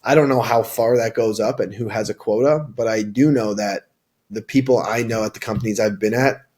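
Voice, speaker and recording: A husky, slightly low-pitched young man talks loosely and informally, stumbling into restarts and leaning on "like" and "you know." He sounds like a sales rep giving candid career advice in an interview. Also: brisk, a little mellow. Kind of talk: casual interview answers.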